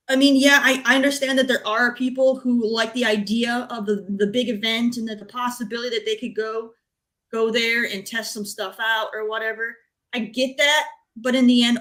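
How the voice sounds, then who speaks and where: A woman's voice: fairly high pitch, fairly bright tone. A woman speaking informally as a panelist on a gaming talk show, giving opinions in a blunt, emphatic way.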